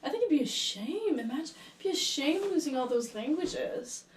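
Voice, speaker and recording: Give me a young woman, animated and quick to laugh, recorded off-mic in an echoey room. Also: high-pitched, recorded from a distance. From France. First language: French. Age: young adult. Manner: animated and laughing, searches for words with restarts. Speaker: guest, engineering student. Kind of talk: casual conversational interview.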